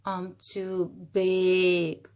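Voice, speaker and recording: Woman doing a slow, low moaning whale. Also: completely flat delivery, a little mellow. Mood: moaning, helpless. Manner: moaning, drawn-out. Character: huge moaning helpless whale, heavy and weary.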